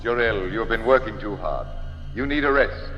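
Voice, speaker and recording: A man's voice: fairly low pitch, normal speed, long reverb tail, lightly acted. Authoritative, skeptical male council head played by a radio actor, oratorical 1940s serial delivery, dull sound with music underneath. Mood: dismissive. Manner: formal, oratorical, patronizing. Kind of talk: 1940s radio drama acting.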